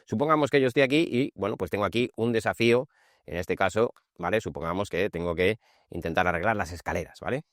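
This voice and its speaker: A low-pitched man with an accent from Spain hosts an informal game tutorial. He explains steps in a conversational way, adding short checks for understanding. The sound is dry, with very little room echo. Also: fast. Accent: Spanish from Spain. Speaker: board game channel host.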